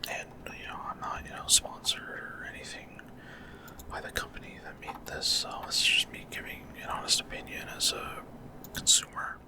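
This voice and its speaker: Whispering man, casual ASMR gamer. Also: moderate pace. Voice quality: breathy unvoiced whisper. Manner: casual, rambling, hesitant. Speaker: gaming ASMR creator. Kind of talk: whispered ASMR gameplay commentary.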